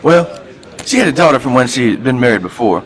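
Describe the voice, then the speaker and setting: A reverend who used to be a hoodlum, played by a man, in an amateur radio play. His voice sits at a middling pitch, and he spins folksy tales peppered with street slang. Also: big pitch swings, brisk, close to an everyday voice. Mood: confiding.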